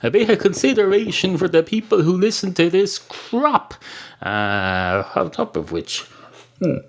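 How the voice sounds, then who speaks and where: A man's voice: high voice, a little slow. An Irish man hosting a solo comedy podcast, rambling through absurd tangents with many fillers while fighting off a cold. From Ireland. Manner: absurd deadpan humor, frequent "uh" fillers, self-correcting tangents. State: coming down with a cold. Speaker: podcast host.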